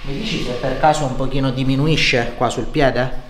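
A man's voice: medium pitch, fairly even intonation, normal speed, crowd noise. A middle-aged man working as a chiropractor, reassuringly explaining the treatment to his patient and checking in with short questions. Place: living-room-like treatment room indoors. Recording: roomy echo, faint background noise.

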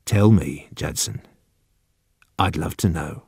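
A man voicing a calm, cunning, commanding old man with slow, deliberate speech in an audiobook story. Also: on the low side, creaky, no room sound, clean studio sound. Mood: gentle, coaxing.

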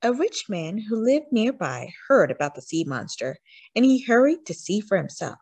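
A woman narrates a storybook for young children. Her delivery is animated, with lots of melodic movement and stretched words for emphasis. The sound is dry, with little room around it. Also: medium pitch, normal speed, husky, no room sound. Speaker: storytime reader. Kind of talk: expressive children's read-aloud narration.

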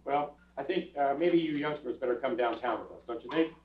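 An older man playing a calm, matter-of-fact police detective in a stage radio drama, with clipped delivery. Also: mid-range voice, brisk, lightly acted. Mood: calm, kindly. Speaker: actor and narrator in a stage radio play. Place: small theatre stage, recorded from audience camera. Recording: muffled, echoey stage recording from far off.

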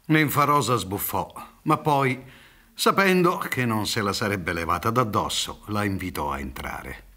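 A man's voice: lowish voice, expressive, measured pace, pushed and bright. A middle-aged man giving scripted literary narration for a radio drama. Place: theatre stage set up for radio recording.

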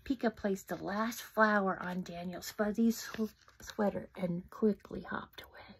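Unhurried, whispery middle-aged storyteller woman. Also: mid-range voice, a little hiss or hum, low-quality recording. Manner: soft, often whispered, expressive asides to children. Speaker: children's book author reading aloud. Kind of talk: interactive children's story reading. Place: home room, indoors. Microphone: phone camera close up.

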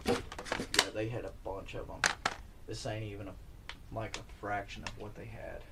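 A man's voice: lowish voice, level tone, moderate pace. A man talking casually as a local guide, showing people around on a tour. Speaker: local guide to an abandoned building. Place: abandoned building, outdoors at the start. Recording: noisy location sound.

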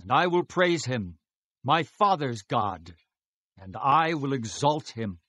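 A man's voice: medium pitch, animated, takes it slowly, fairly bright tone, no echo. A man acting a devout, fervent Israelite in a dramatized scripture reading, speaking in a solemn, declamatory manner. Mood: solemn praise.

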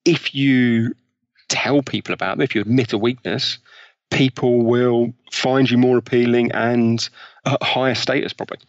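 A man's voice: mid-range voice, fairly even intonation, normal speed, no echo. Man, British accent, interview guest, conversational explainer, dry close sound, slightly muffled lo-fi audio. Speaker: interview guest, author on behavioral science.

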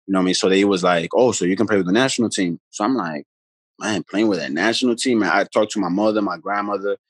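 A man rambles through stories in an easygoing, conversational way. His voice sits a little low, and the sound is dry and close from a phone held near him on a video call. Each point gets padded with casual asides. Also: quick pace, dry recording. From the Bronx, New York. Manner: laid-back, rambling, many "you know what I mean" tags. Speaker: guest, pro basketball player. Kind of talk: casual storytelling chat. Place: room at home, indoors. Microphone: phone camera held close on a video call.

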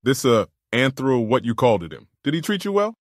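A man's voice: medium pitch, sing-song, a little fast, no room sound, clean studio sound, lightly acted. A man playing a firm, decisive army colonel in an audiobook drama, giving orders with authority. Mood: questioning, firm.

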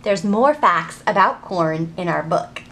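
A woman in her thirties hosting a children's storytime, reading aloud and teaching in an animated, deliberate, sing-song way. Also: fairly low pitch, a little hiss or hum. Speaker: children's librarian and storytime host. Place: public library children's section.

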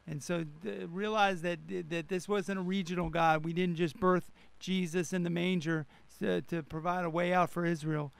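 A man's voice: sits high, level tone, normal speed, creaky, hardly any pauses, clear, resonant tone, no echo. A man speaking as a pastor and radio co-host, preaching emphatically and repeating phrases for stress. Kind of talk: animated radio preaching and banter.

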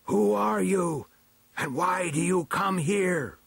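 A man voicing an aged, stern and suspicious snow dwarf chief elder in a storybook tale, declaiming slowly and imperiously. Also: high-pitched, vocal fry, breathy edge, no echo, voice pushed up, full character voice. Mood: stern challenge. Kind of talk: storybook character acting.

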